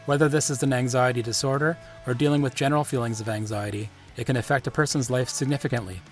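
A man hosting a podcast, reading a measured, earnest introduction and asking interview questions. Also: medium pitch, steady tone, faint music behind. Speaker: podcast host.

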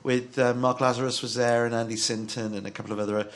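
A man's voice: medium pitch, flat delivery, fast talker. A man on a football podcast panel, speaking earnestly and hesitantly with many fillers. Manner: hesitant, earnest, full of ums. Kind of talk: casual football podcast chat.

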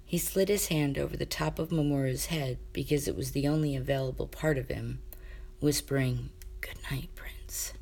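Low-pitched woman reading a story. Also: moderate pace, creaky. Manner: expressive, acts out dialogue. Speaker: amateur audiobook reader of fan fiction. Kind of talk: scripted story narration.

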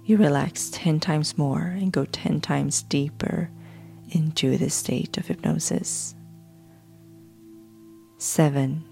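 Very slow, low-pitched woman guiding sleep hypnosis. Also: steady tone, creaky, dark tone. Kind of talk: guided sleep hypnosis, meditation narration. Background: background music.

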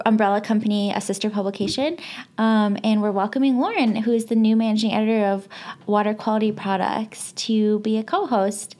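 A woman with a high-pitched voice co-hosts a casual podcast. She chats in a friendly, hesitant way, often pausing on ums as she finds her words. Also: darkish tone. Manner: chatty, hesitant with many ums. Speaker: podcast co-host.

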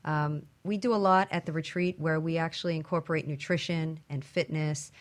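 Interview guest: woman, low register, conversational explanatory answers. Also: steady tone, brisk. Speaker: guest, women's retreat organiser.

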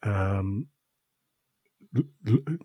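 A man's voice: lowish voice, lively intonation, drawn-out words, mellow, slightly rough, no room sound. A man with a Dutch accent, a native Dutch speaker, talking casually in English with many hesitations and fillers. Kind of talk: casual podcast conversation.

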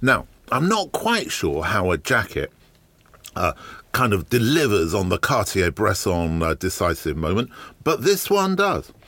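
A man with a low, slightly croaky voice hosts his podcast in a chatty, wry manner, his pitch swinging animatedly as he talks. The sound is dry and close, with no room echo. He fills his talk with ums and uhs. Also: wildly swooping pitch, measured pace, fairly bright tone. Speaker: podcast host. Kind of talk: casual solo podcast monologue. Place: garden shed.

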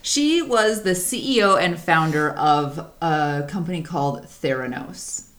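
Deep-voiced woman chatting animatedly. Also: a little slow, over a noisy crowd. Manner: animated, swearing, laughs often. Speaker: podcast co-host. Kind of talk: casual chat.

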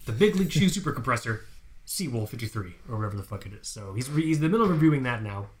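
A man's voice: mid-range voice, very animated, rapid-fire, slight background noise. Male co-host, casual and profane, eager plug-the-site energy, mid-range voice. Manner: hyped, profane, plugging the website. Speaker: podcast co-host. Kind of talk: casual chat.

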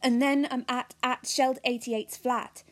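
A woman's voice: high-pitched, level tone, unhurried, fairly bright tone, dry recording. A woman playing a restless, defiant teenage schoolgirl, telling her story intensely in a first-person audio drama monologue. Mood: urgent, shaken. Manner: intense, urgent, confiding, swearing.

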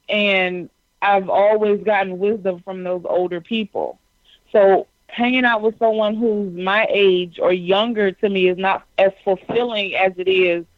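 A woman's voice: mid-range voice, dry recording. A woman in her twenties, earnestly explaining her views at length in casual chat. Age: 20s. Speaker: guest. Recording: dull dry call audio.

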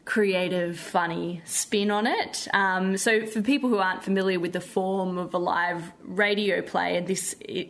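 A woman chatting casually as a theatre director being interviewed. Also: medium pitch.

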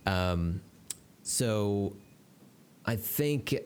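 A man in his thirties co-hosting a podcast in casual chat, talking in a relaxed, halting way. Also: on the low side, slight background noise. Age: 30s. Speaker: podcast co-host.

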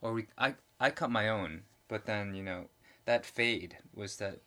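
A man's voice: lowish voice, measured pace, pushed and bright. A man in his forties chatting casually as a podcast host, rambling and laughing often. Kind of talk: casual chat.

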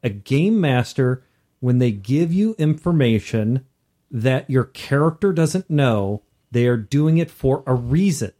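A man's voice: mid-range voice, animated, measured pace, dark tone. A man co-hosting a conversational podcast, talking emphatically and repeating phrases for stress. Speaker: podcast co-host. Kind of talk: conversational discussion.

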